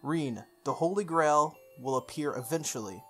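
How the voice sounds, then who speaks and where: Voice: mid-range voice, normal speed, soft background music. A game playthrough host expressively reading story text aloud, stumbling and correcting along the way, with off-the-cuff asides.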